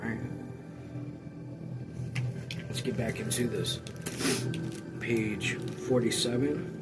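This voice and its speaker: A man talking casually as the host of a read-along, pausing to comment as he goes. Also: medium pitch, steady tone, loud music behind the voice. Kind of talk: casual chat and amateur read-aloud.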